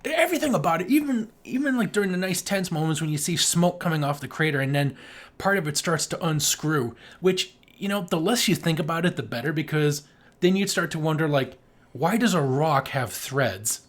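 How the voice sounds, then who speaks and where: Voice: medium pitch, expressive, brisk. An enthusiastic podcast co-host in casual film discussion, given to acting out sound effects and swearing casually.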